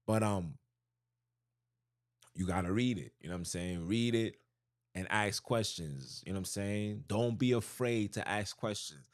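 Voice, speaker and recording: Low-voiced man, loose street talk. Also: measured pace, no room sound, clean studio sound. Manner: loose, street-slang talk with frequent "you know what I'm saying" and restarts. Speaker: podcast host. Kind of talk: casual religious talk.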